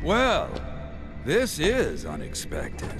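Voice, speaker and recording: An actor playing a smug, imperious government schemer in a video game, with a sardonic, formal manner. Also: male voice, fairly high pitch, huge pitch swings, extremely slow, loud music behind the voice, heavily acted. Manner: haughty, sardonic, formal diction. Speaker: villain. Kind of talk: video game cutscene acting.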